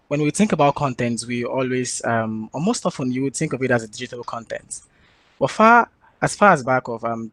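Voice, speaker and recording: A man's voice: medium pitch, no room sound. Man giving a dry, formal tech talk. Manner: steady, formal, presenting. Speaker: conference speaker.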